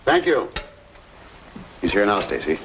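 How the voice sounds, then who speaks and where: A man's voice: on the low side, big pitch swings, a little slow, a bit bright. An actor playing a polite, placating businessman in an old-time radio drama. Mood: brisk. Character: courteous, conciliatory businessman backing his friend. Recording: faintly noisy old radio recording.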